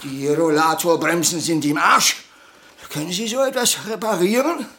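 An actor playing a frail, cranky old fanatic in a radio play, theatrical and ranting. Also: male voice, a little high, moderate pace, close to an everyday voice. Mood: grumbling. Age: older. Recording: echoey room, noisy background.

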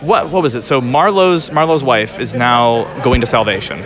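A man's voice: mid-range voice, moderate pace. A man doing casual on-site interviews as a host at a convention. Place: busy public space at a fan convention. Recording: busy convention crowd behind. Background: crowd chatter.

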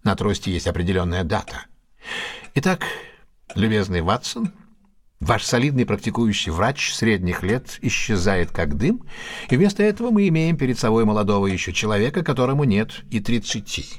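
Male detective, audio drama: low, rough-edged, very expressive. Keen, didactic, faintly superior. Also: moderate pace. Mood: confident deduction. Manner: didactic, theatrical, reasoning step by step. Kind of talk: Russian audio drama acting.

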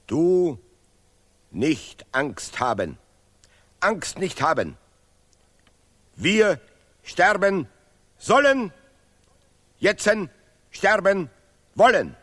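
An actor playing a pompous, sentimental patriotic scholar in an absurdist radio play, declaiming haltingly in broken grammar. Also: male voice, high-pitched, fairly bright tone, full character voice. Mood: fervent, frenzied. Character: pompous male Nobel laureate scholar, bombastic, sentimental patriot.

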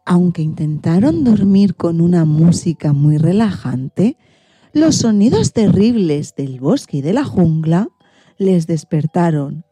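A woman narrating a children's radio story in a deliberate, expressive storytelling manner. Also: lowish voice, slightly rough, dry recording, faint music behind.

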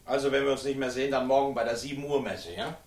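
An actor playing a blunt, paternal older uncle in a 1960s German radio crime drama, with a husky voice. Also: male voice, medium pitch, quick pace, very noisy, natural voice. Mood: decisive.

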